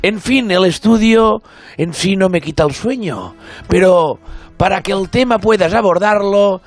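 A man's voice: high voice, a little slow. A man doing a comic radio impersonation of a theatrical critic who declaims silly rhymes and puns. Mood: pompous, punning. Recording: music playing behind.

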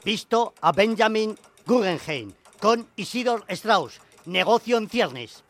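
A woman voicing a telegraph message with slow, deliberate dictation in a radio drama. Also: medium pitch, animated.

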